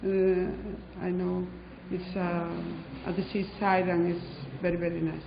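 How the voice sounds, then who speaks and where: A woman's voice: lowish voice, level tone, unhurried, creaky, background noise. A woman from Spain with a Spanish accent, a head teacher answering interview questions in English hesitantly, with many fillers. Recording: roomy hall, dull lo-fi sound.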